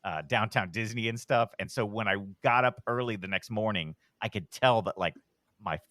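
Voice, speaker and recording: A middle-aged man with a deep voice, telling a long rambling story on a podcast in a relaxed, self-deprecating way. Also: normal speed, no room sound, studio quality. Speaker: podcast host, guest. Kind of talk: casual storytelling.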